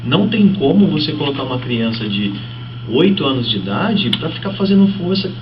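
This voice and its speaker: Man, Brazilian accent, guest trainer, conversational explainer, muffled audio, clear background noise. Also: on the high side, sing-song, a little fast. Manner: explanatory, uses examples. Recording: muffled, noisy, distant-sounding broadcast audio.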